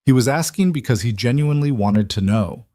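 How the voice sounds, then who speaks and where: A man's voice: medium pitch, animated, brisk, warm tone, dry recording, studio quality. A man telling a story as scripted romance audiobook narration.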